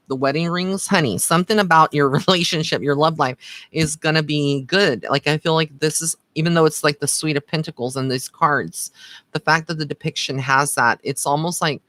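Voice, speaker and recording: A man with a slightly high, bright and forward voice talks like a psychic reader on a livestream. He is informal and chatty, speaking straight to his viewers with familiar endearments. The sound is close and dry, with hardly any room in it. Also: no echo. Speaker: psychic medium giving live card readings. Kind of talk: casual livestream chat with viewers.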